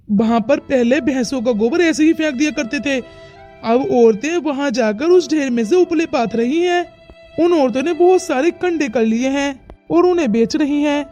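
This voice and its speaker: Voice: high voice, moderate pace, a little mellow, close to an everyday voice. A performer voicing an earnest, persuasive young village man in a cartoon moral story. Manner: insistent, reassuring. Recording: music behind the dialogue.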